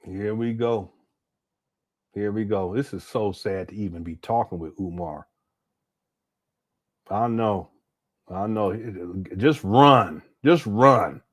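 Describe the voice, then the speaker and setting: A man's voice: fairly low pitch, slow, long pauses. A man giving casual reaction commentary on a clip, exasperated and laughing, with slangy asides.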